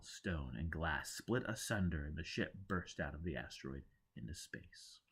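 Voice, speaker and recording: A man's voice: low voice, fairly bright tone. A man narrating a scripted story dramatically as an audiobook reader.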